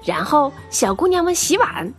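Lively higher-voiced woman storyteller. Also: normal speed. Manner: expressive. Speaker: narrator. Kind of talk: children's audio drama storytelling. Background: music.

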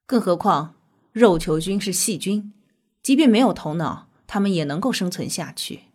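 A woman playing a calm, earnest scientist, explaining in a measured way in an audio drama. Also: fairly low pitch. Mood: grave, insistent. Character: calm, earnest female scientist with mystical faith.

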